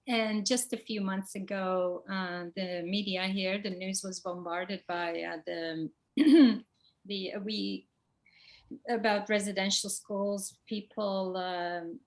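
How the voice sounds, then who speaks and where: A woman's voice: mid-range voice, fairly even intonation, slow pace. A middle-aged woman with a Turkish accent, asking questions conversationally and thinking aloud as she goes. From Turkey. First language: a minority language of Turkey. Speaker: interviewer. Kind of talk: conversational Q&A interview.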